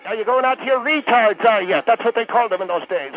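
Over a tinny phone line comes a cantankerous elderly Irish priest with a high-pitched voice. He rambles in an old-fashioned, self-righteous way, like a comic call-in character on the radio. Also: quick pace. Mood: earnest, rambling.

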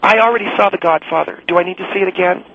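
A man's voice: sits high, rapid-fire, vocal fry, fairly bright tone, highs cut off, heavily acted. A man voicing an indignant American woman caller who interrupts and sneers at others on a radio show. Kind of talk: comedy radio call-in bit.

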